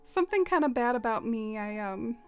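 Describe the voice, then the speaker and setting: A woman's voice: a little high, expressive, a little fast, darkish tone, clean tone, soft background music. A young woman from the American South with a Southern accent, chatting casually and self-deprecatingly while streaming a game. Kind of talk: casual live-stream chat while gaming.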